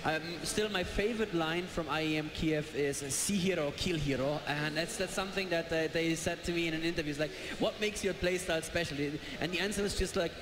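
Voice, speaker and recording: A man casting an esports match live, chatty and jokey, excitable. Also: on the high side, a little fast, few pauses. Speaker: esports caster. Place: large event hall with live audience. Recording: echoey hall, noisy crowd.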